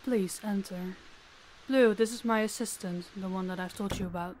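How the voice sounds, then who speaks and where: A woman's voice: medium pitch, fairly even intonation, normal speed, a little mellow, a little hiss or hum, lightly acted. Woman acting as commanding, formal crime boss. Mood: cool, polite. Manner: formal, authoritative, clipped. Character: commanding, demanding female crime boss, coolly businesslike. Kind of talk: roleplay audio drama acting.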